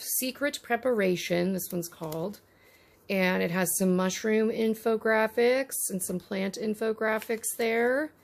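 A woman's voice: mid-range voice, gravelly. A woman giving casual, easily delighted unboxing commentary as she handles miniature toys. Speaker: host of a miniature toy unboxing.

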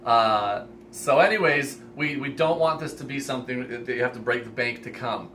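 Male vlogger, 20s-30s, eager and sincere, bright tone, filmed indoors with some background noise. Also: medium pitch, normal speed. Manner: enthusiastic, earnest. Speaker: host, reptile expo organizer. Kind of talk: casual vlog announcement to camera. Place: indoor room lined with reptile racks. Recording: steady ambient room noise.

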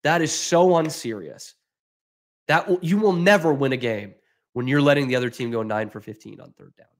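A man's voice: mid-range voice, normal speed. Exasperated young man ranting about football. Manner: exasperated, sarcastic, animated, talks straight to viewers. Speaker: sports podcast host. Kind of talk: postgame analysis, casual rant. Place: small room at home, indoors. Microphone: desk microphone in front of him.

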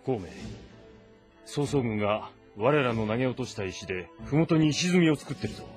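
A man's voice: medium pitch, expressive, normal speed, darkish tone, natural voice. A man playing a worried, impatient warlord in a dramatic audio drama style. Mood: anxious, doubtful. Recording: music behind dialogue.

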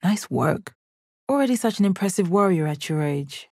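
A woman playing a stoic, confident, commanding gem warrior in a video game, with a measured, deadpan delivery. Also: fairly low pitch, dry recording, studio quality. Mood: impressed. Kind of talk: video game character battle lines.

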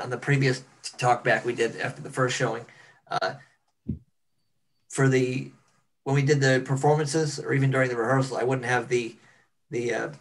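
A man speaks casually on a video call, halting as he finds his words. His voice sits at a middle pitch. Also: low-quality recording. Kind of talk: casual chat.

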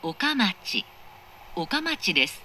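A woman makes formal recorded train announcements. Her delivery is slow and carefully articulated, with a bright tone. Faint carriage noise runs behind her. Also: medium pitch, very animated. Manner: formal, measured, precise enunciation. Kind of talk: scripted automated station announcement. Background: moving train interior noise.

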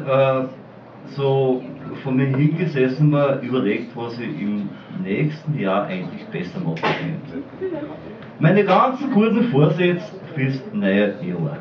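A man speaks slowly with a lively, swinging pitch, halting on ums before each comic verse. The sound is distant and roomy, dulled like a camcorder in a small pub. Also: highs cut off, background noise. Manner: halting, ums between bits, jokey. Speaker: slam poet. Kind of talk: comic dialect poem recital.

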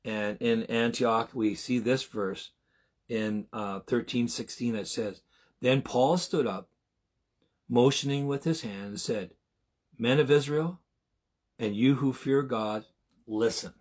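A man in his sixties giving an informal Bible teaching as a preacher, with a husky voice and a conversational, halting delivery that often repeats and rephrases. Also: mid-range voice, darkish tone. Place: small home room, indoors.

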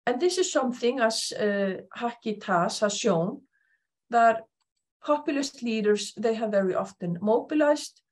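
A middle-aged woman with an Icelandic accent, presenting an academic lecture in a measured, thoughtful way with frequent pauses. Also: on the high side, lively intonation, a little mellow. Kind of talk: recorded conference lecture over slides.

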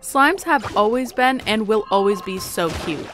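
A woman's voice: mid-range voice, lively intonation, measured pace. A young woman giving casual, playful game playthrough commentary in a gushing, self-interrupting way. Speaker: gaming video creator. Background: soft game music.

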